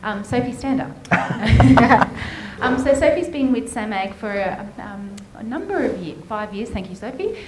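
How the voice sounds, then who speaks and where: A woman gives a thank-you speech to a departing chair, pausing often on um. The room echoes around her. Clear background noise comes through. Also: on the high side, animated. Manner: halting, many ums. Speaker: committee member presenting a farewell. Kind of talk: speech at an event. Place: event room.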